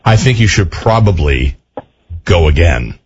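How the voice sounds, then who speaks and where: A man's voice: deep voice, very animated, a little slow, highs cut off, a little hiss or hum. A man hosting a radio game show, teasing and encouraging a caller. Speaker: radio host.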